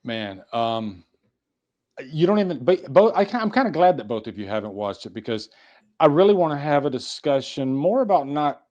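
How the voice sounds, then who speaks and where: A man's voice: on the high side, very animated, moderate pace, darkish tone, dull audio. A man hosting a casual podcast discussion in a conversational, rambling way, swearing casually. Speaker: podcast host.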